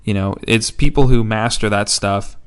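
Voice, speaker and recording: A man's voice: lowish voice, normal speed, clear, resonant tone. A man on a gaming podcast explaining his views in an easygoing, casual chat. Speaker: podcast co-host.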